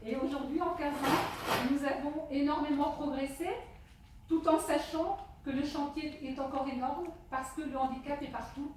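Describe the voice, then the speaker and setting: A woman with a high voice addresses a public meeting as the official chairing it. Her delivery is deliberate and halting, with frequent pauses between phrases. The sound is very reverberant and distant from the microphone. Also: fairly even intonation. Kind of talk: opening speech at a public meeting. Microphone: handheld portable microphone. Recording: echoey hall, distant microphone, faint noise.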